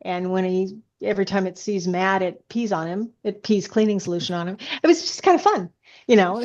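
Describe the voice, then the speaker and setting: A woman in relaxed podcast conversation, her voice sitting at a middle pitch. She talks with enthusiasm and often interrupts herself to rephrase. The recording is slightly dull and lo-fi. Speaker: science fiction author, podcast guest. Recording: dull, lo-fi sound.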